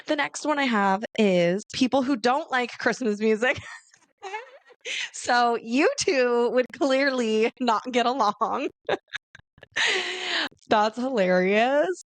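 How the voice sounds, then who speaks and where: Raspy chatty woman, gravelly. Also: on the high side, expressive, slow, pauses often. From Arizona, USA. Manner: chatty, laughs often, addresses listeners as girl. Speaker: podcast host. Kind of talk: casual solo podcast chat.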